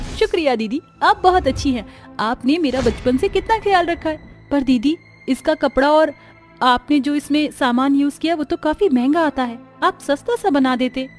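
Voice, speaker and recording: Woman as a high-pitched, pleading young girl. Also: normal speed, faint music behind, natural voice. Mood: grateful, excited. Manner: pleading, earnest, emotional. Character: young orphan girl, needy and grateful little sister.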